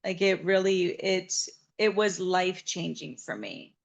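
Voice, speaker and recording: A woman's voice: on the low side, fairly even intonation, a little slow, vocal fry, dull audio. A woman from Southern Nevada, a massage therapist, telling her story in an interview in an earnest, reflective way.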